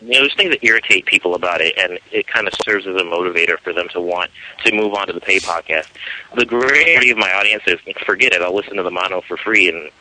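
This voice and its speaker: A man in his twenties, a podcast guest, talking in a relaxed, easygoing way in a casual interview. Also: lowish voice, rough recording. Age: 20s.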